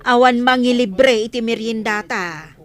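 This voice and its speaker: A woman's voice: on the high side, measured pace, natural voice. A woman acting a teasing, gossipy village friend in a comedic audio drama.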